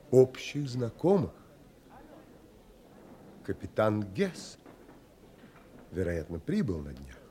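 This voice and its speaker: An earnest, slow-spoken young gentleman. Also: lowish voice. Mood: eager, courteous. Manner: formal, polite, dramatic phrasing. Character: earnest, courteous young gentleman, narrator and romantic hero. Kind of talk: Russian audio drama acting, literary narration and dialogue.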